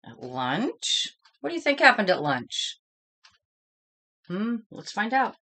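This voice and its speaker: A woman reading a children's story aloud in a dramatic, expressive style, speaking to young listeners as she goes. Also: mid-range voice, slow pace. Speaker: storybook reader.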